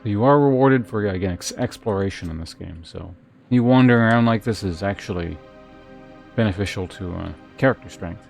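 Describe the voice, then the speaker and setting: Low-pitched man casually narrating his gameplay. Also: fairly quick, vocal fry, darkish tone, faint music behind. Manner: thinking aloud, muttering to himself. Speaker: video game streamer. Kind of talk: casual live game commentary.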